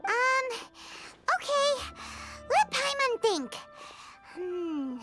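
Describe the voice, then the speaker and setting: A woman voicing a small, excitable, childlike female fairy companion in a video game, with a squeaky voice and a bubbly, chatty manner. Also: extremely slow, music in the background, full character voice. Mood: hesitant, pondering. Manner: refers to self in third person, bubbly, thinks aloud.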